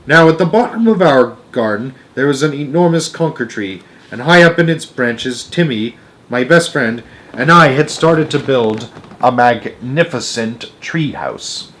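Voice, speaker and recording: A man narrating a children's novel aloud in an expressive storytelling style. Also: mid-range voice, a little slow, a little hiss or hum, rough recording.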